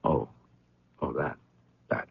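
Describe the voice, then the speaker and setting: A man playing an evasive, flustered suspect under police questioning in a radio drama, halting and stammering as he hedges. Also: lightly acted. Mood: defensive. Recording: dull old radio recording.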